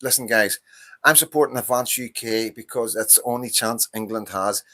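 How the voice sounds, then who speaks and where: A man's voice: mid-range voice, moderate pace, bright, forceful tone. Ranting Northern Irish man in his fifties. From Northern Ireland. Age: 50s. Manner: impassioned, ranting, profane, repeats himself. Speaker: livestream host. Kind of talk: casual political monologue.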